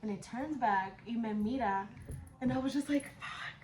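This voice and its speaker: A woman's voice: on the high side, normal speed, darkish tone. Slangy young woman, echoey room. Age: 20s. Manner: slangy, switches between English and Spanish, swears casually. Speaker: vlogger telling a personal story. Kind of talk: casual chat storytelling. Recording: echoey room with background noise.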